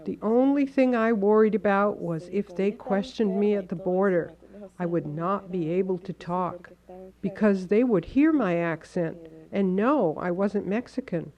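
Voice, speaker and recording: A woman reading a translated first-person account as a measured, earnest voice-over. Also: mid-range voice, mellow.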